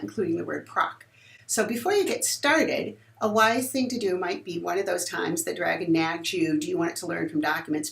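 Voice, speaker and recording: A woman presents a software demonstration in an informal way. Her voice sits a little low and has a distinctly husky, unpolished grain. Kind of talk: dictating voice commands to software.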